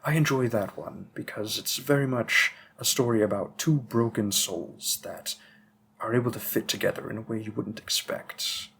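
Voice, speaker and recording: A man's voice: on the low side, gravelly, a bit bright, a little hiss or hum. A man playing a patient, bookish vampire bookseller with a hint of menace, musing hesitantly in a conversational roleplay audio drama. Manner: musing, hesitant, deliberate, with frequent pauses.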